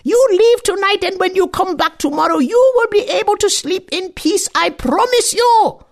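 A shrill, bossy old crone of the forest, half woman and half creature, barking orders in a high, swooping voice, as a woman storyteller puts her on. Her pitch leaps and dips, and every line comes out sharp and commanding. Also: lively intonation, normal speed.

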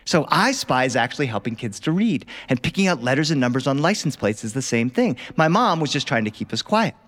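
A man's voice: mid-range voice, big pitch swings, a little fast, a bit bright. A middle-aged man telling childhood stories live, conversational and engaging, often putting questions to his listeners. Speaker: storyteller. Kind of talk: live storytelling of childhood memories to an audience. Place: recording studio in front of live listeners.

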